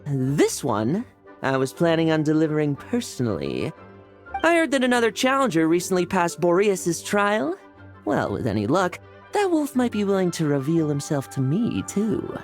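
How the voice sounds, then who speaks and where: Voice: mid-range voice, huge pitch swings, normal speed, soft background music. A voice actor voicing a polite, eager traveling knight messenger.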